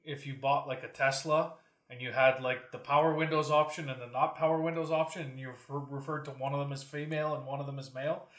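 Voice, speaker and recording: A man's voice: medium pitch, steady tone, quick pace, fairly bright tone. A man asking questions on a podcast in casual, irreverent, slangy banter, recorded in a room. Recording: echoey room.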